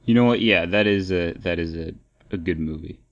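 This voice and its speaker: A man's voice: sits low, a little fast, lo-fi sound. A man co-hosting a podcast in casual, opinionated discussion, rambling and repeating phrases as he thinks aloud. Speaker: podcast co-host.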